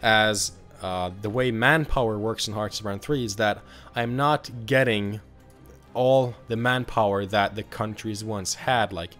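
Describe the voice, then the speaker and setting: A man's voice: fairly low pitch, unhurried, soft background music. A young man with a slightly sick, off-sounding voice, explaining a strategy game in a casual, rambling tutorial. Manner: relaxed, rambling with many uh and um.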